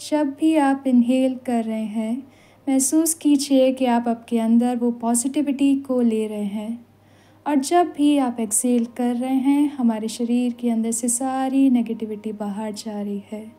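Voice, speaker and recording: Meditation instructor: Indian woman, slightly high but relaxed voice, soothing and unhurried, long pauses. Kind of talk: guided meditation in Hindi with some English phrases. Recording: voice over background music. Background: meditation music.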